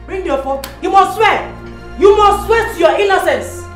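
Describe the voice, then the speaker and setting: A woman's voice: mid-range voice, big pitch swings, unhurried, faint music behind. An actress playing an imperious royal woman who commands and insists. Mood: commanding. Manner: commanding, insistent, repeating demands. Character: imperious royal woman backing the missing heir.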